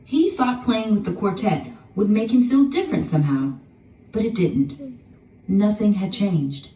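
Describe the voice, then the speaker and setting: A woman narrating a children's storybook in an expressive, dramatic scripted style. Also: mid-range voice, a little slow. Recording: roomy, distant sound with music behind.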